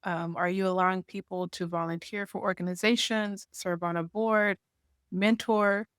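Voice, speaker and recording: A woman's voice: medium pitch, steady tone, normal speed, fairly bright tone, clean tone, no echo, studio quality. A woman guest on a podcast interview, explaining her points step by step and checking in with "right?". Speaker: podcast guest, marketing association founder. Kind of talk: conversational interview.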